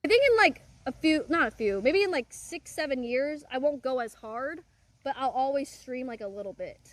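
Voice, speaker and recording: A woman in her twenties chatting casually with a friend on stream, excitable and quick to exclaim. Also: high voice. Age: 20s. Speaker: streamer in a played clip. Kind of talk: casual chat.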